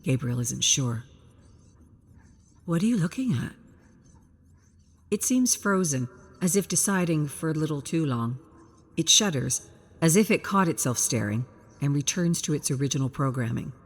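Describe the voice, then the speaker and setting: Low, husky woman narrating fiction. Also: lively intonation, moderate pace. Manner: present-tense suspenseful storytelling. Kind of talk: dramatic scripted story narration.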